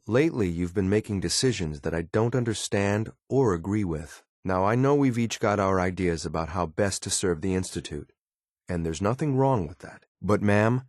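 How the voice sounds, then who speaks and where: An actor playing a loyal, disapproving android soldier in a video game, speaking in a formal, clipped, deadpan and blunt way. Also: male voice, low voice, no echo, studio quality. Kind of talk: video game companion voice lines.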